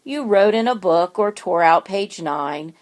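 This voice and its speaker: Woman acting storybook school librarian, female, firm, questioning, no-nonsense, measured pace. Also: medium pitch, lively intonation, lightly acted. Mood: knowing.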